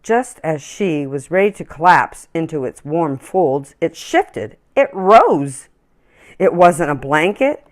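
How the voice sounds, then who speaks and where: A woman reading a children's story aloud in an expressive, deliberate storytelling manner. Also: sits low. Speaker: children's story-time reader.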